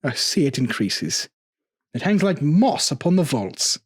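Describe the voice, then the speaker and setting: A scheming aristocrat with a husky voice and measured, formal speech acted by a male narrator. He is falsely courteous and solicitous, hiding cold vengeance in a gothic horror tale. The sound is dry and close. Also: a little high, very animated, no room sound, heavily acted. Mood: feigned concern. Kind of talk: gothic horror audio storytelling.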